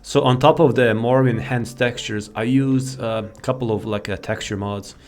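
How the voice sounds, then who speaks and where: A man's voice: mid-range voice, fairly even intonation, normal speed, a little mellow. A man giving casual, rambling commentary as he shows off a modded game. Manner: rambling, informal, easily sidetracked. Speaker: gaming video host. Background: faint game music.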